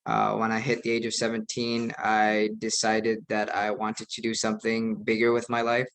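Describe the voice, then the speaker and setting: A young man from the United States, speaking as an imam in an earnest, measured way. His pitch stays level and even throughout, with little rise or fall. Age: young adult. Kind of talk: online presentation.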